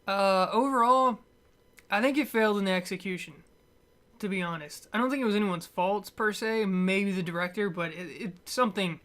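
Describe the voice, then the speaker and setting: A man talking off the cuff in a casual movie review, halting and stumbling over his words, with wry, dismissive asides. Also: sits high, a bit bright. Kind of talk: casual unscripted movie rant.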